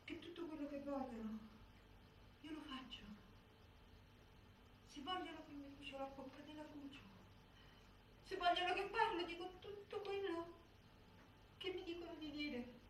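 Actress doing a desperate jailed woman begging, high voice, really echoey and distant stage recording. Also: normal speed, long pauses, a little hiss or hum, lightly acted. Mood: frantic. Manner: pleading, anguished. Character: desperate female prisoner pleading in a letter. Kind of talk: theatrical stage monologue.

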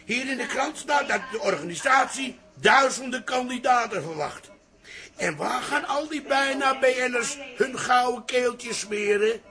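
Old dad in a radio comedy, high blustery voice, swoops around, cheeky and grumbly. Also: male voice, animated, unhurried, pushed and bright, exaggerated voice. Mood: cheerful, teasing. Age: older. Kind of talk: Dutch radio comedy drama acting.

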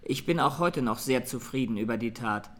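Defendant for radio drama: man playing a young accused, mid-pitched, earnest, plain, calmly without regret. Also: moderate pace, lightly acted. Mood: calm, unrepentant. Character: young Armenian male defendant, sincere, quietly unrepentant. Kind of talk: radio drama courtroom testimony.

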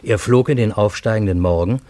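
An actor as a wry first-person storyteller, narrating a radio drama in a measured, matter-of-fact way. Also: male voice, fairly low pitch, mellow, no room sound, natural voice. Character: wry male first-person storyteller recounting strange events.

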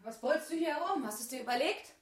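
An actress playing a scornful, sharp-tongued wife in a radio drama, with biting, sarcastic indignation. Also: female voice, sits high, animated, quick pace. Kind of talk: naturalistic German radio drama acting.

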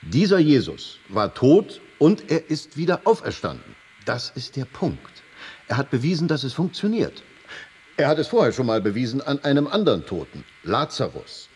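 A brisk, husky chaplain, reverberant. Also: male voice, mid-range voice, very animated, a little mellow. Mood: brisk, instructive. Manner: short didactic phrases, checking with "okay". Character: brisk, businesslike male prison chaplain, glibly simplifying doctrine. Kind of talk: German radio drama acting. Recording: long hollow reverberation.

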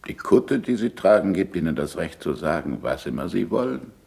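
A man's voice: deep voice, sing-song, moderate pace, gravelly, warm tone, exaggerated voice. An actor playing a sardonic, impatient older scientist in a 1960s German radio play, biting and commanding in his irony.

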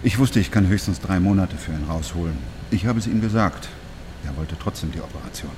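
An actor playing an earnest, troubled East German professor in a radio drama, hesitant at times and emphatic at others. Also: male voice, sits low, fairly quick, noisy background, close to an everyday voice. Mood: appalled.

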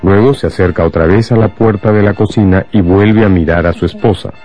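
A man with a deep voice narrates a radio drama. He tells the story in a measured, dramatic way, with faint guitar music running behind him. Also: fairly even intonation, dark tone.

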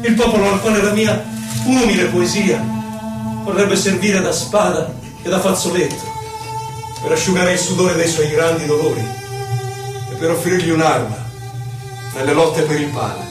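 A man gives a solemn, theatrical poetry recitation, declaiming in drawn-out phrases. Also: high voice. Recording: very echoey, distant stage recording with music. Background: prominent violin music.

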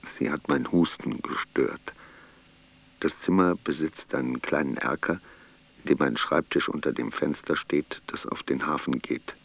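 A man's voice: very deep voice, unhurried, vocal fry, a bit bright, full character voice. An actor playing a feverish, weary man in an introspective first-person monologue in a radio play. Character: sick, feverish man brooding over his notes.

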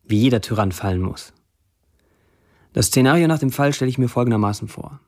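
An actor playing a sardonic Syrian blacksmith who writes Facebook posts, delivered as a literary monologue in a radio play. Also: male voice, mid-range voice, animated, a little fast, a little mellow, close to an everyday voice. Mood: wry.

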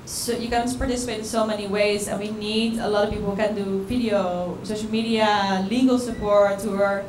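A woman speaks at a panel event in a casual, chatty way, with many filler words. Her pitch stays flat. Her voice rings through an echoey hall with some background noise. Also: fairly quick. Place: event venue.

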